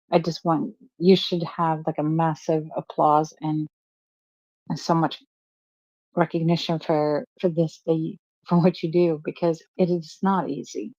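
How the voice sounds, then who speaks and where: A woman's voice: low voice, mellow, dry recording, lo-fi sound. A woman from Sweden, a native Swedish speaker, co-hosting a casual chat. She gushes and chats freely, with hesitant fillers. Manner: gushing, chatty, with hesitant fillers. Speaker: co-host.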